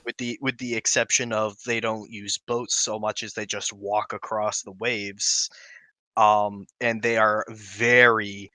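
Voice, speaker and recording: A man with a lowish yet strikingly bright, forceful voice chats casually as a co-host. The recording is close and dry, without any echo. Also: flat delivery, a little slow. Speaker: podcast co-host. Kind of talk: casual chat about tabletop roleplaying.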